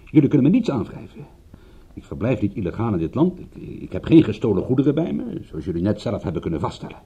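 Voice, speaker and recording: A man's voice: lowish voice, very animated, fast talker, dark tone, slightly rough, dull audio, exaggerated voice. A man playing an indignant, glib British private detective in a radio drama, argumentative and wordy, stumbling into his sentences. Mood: defiant. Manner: argumentative, stammering starts, wordy formal retorts.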